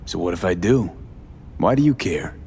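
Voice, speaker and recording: A man's voice: deep voice, lively intonation, normal speed, dark tone, a little hiss or hum. A man playing a jaded, sardonic mercenary in a video game, blunt and guarded, in clipped, terse phrases with dry sarcasm.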